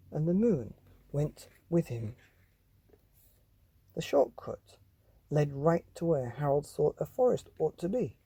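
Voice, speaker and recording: A man reading a children's bedtime story as a podcast host, speaking straight to young listeners in drawn-out, dramatic phrases. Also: on the high side, creaky, dark tone, breathy edge, dry recording.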